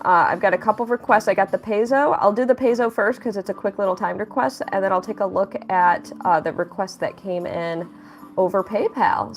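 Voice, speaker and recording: A young woman livestreaming her drawing, chatting casually and upbeat, thanking viewers and reading their messages aloud. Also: medium pitch. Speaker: livestreaming artist.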